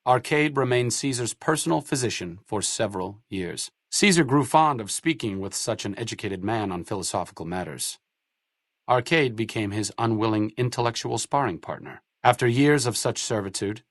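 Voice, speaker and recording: An actor narrating a video game's epilogue in a solemn, measured storytelling manner. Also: male voice, mid-range voice, no echo, studio quality, close to an everyday voice. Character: grave male narrator of a game's endings. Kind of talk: scripted game epilogue narration.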